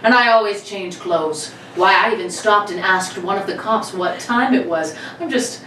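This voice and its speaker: A woman's voice: medium pitch, normal speed, a bit bright, close to an everyday voice. Woman acting cocky male robber, roomy distant recording. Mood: boastful, gleeful. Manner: mock-courteous, theatrical. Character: cocky, politely menacing male armed robber. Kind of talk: old-time radio play reading. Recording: roomy, distant mics with faint noise.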